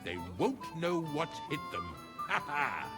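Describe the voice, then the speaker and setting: An actor playing a boastful, wine-loving lord chamberlain in a game cutscene, hearty, pompous and overconfident. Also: male voice, on the high side, sing-song, slow, loud music behind the voice. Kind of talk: video game cutscene acting.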